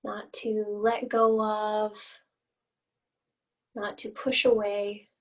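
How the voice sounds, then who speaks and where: A woman leading a guided meditation as an instructor, with gentle, inviting phrasing and long pauses, recorded at some distance from the mic. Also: mid-range voice, completely flat delivery.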